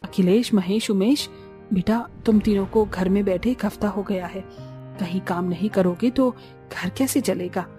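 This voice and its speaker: A woman's voice: mid-range voice, normal speed, dark tone, faint music behind, close to an everyday voice. A woman voicing a worried, caring but scolding village mother-in-law in a cartoon story, plaintive and admonishing.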